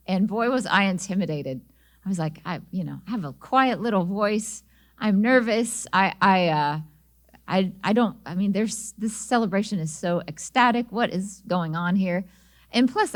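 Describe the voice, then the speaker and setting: A middle-aged woman speaks at a medium pitch, telling personal stories as a speaker at an event. She sounds sincere and a little halting, and she now and then restarts a phrase before going on. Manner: warm storytelling with small restarts. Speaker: singer-songwriter and speaker. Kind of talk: inspirational talk at an event.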